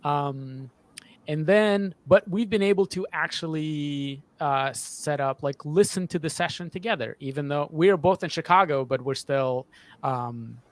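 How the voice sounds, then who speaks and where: A man's voice: fairly high pitch, dry recording. A man in his thirties, a sound designer, explaining his process in an interview from a home studio, restarting words as he goes. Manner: halting, often repeats and restarts words. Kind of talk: interview answer about creative process. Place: small home studio, video call. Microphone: desk boom microphone up close.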